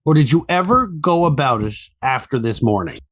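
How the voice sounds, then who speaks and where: A man's voice: medium pitch, lively intonation, moderate pace, a bit bright. A man playing a methodical prosecuting lawyer, asking formal, precise questions in a trial transcript reenactment. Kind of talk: audio reenactment of a court transcript.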